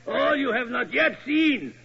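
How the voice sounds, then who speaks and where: An actor playing a sinister, mad museum curator, speaking in slow, oddly ordered phrases, in 1940s radio acting. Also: male voice, very high voice, creaky, breathy edge, full character voice. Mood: gloating madness. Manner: slow, halting foreign word order, cackling menace. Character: mad old German museum curator, sinister host turned gloating villain. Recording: dull old radio broadcast.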